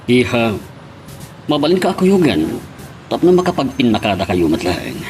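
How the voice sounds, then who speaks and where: A concerned father speaks solemnly. His voice is of middling pitch. It is radio drama acting with slight ambient noise behind. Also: sing-song, moderate pace, a little mellow. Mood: grave, concerned.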